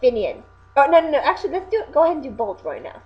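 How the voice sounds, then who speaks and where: A young woman co-hosting a casual video, chatting excitably and often correcting herself. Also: high voice, racing, a little hiss or hum. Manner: animated, self-correcting, excitable. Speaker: video co-host reviewing anime voice acting. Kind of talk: casual chat. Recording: dull lo-fi home recording.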